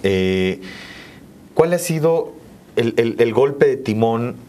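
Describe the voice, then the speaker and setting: A man's voice: mid-range voice, animated, takes it slowly, mellow. A man with a Mexican accent hosting a conversational panel interview in a studio, repeating words as he forms his questions. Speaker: host.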